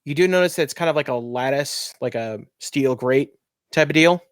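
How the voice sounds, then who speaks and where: A man's voice: medium pitch, moderate pace, smooth, no room sound. A man acting as game master, narrating a tabletop game casually. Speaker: game master and podcast host.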